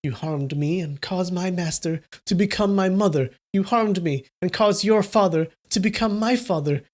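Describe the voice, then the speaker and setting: Upset, stubborn young woman in a storybook reading, high and wailing, voiced by a man. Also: moderate pace, natural voice. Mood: distraught.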